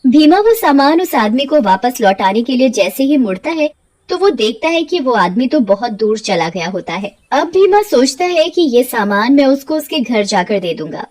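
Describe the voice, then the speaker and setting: High-voiced woman narrating a story. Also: very animated, moderate pace, darkish tone. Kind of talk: scripted animated story narration in character voices.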